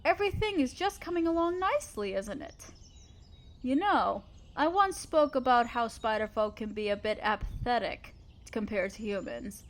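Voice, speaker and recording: A woman playing a gentle, motherly spider queen in a scripted audio roleplay, speaking tenderly and patiently to the listener. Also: on the high side, animated, moderate pace, lightly acted. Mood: warm, reassuring. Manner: tender, patient, softly explaining.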